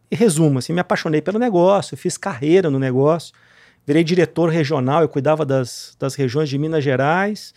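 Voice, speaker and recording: A man's voice: a little high, a little mellow, dry recording, clean studio sound. A man from southern Brazil talking informally as an interview guest about himself, peppering his speech with casual conversational tags. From southern Brazil, Rio Grande do Sul. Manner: informal, punctuated with tags like "né, cara". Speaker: guest, real estate marketing executive. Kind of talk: conversational interview, telling career story.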